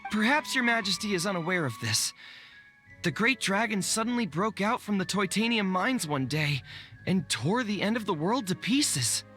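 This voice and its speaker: A man's voice: sits high, big pitch swings, moderate pace, sharp tone, exaggerated voice. An actor playing an earnest, unsure young man in a video game, respectful and deferential to his monarch. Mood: alarmed, grave. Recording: music under the voice.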